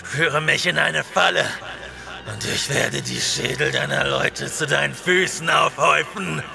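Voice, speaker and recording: A man playing a furious young barbarian warrior in an audio play, gravelly, slow and menacing, with forceful delivery. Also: on the high side. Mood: menacing fury. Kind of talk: dramatic audio play acting.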